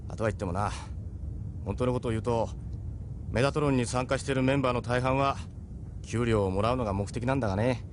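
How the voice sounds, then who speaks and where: A man's voice: mid-range voice, quick pace, background noise, natural voice. A man voicing a seasoned, middle-aged ship captain in an audio drama, persuasive, frank and worldly. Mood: wry, explanatory. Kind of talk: Japanese audio drama acting.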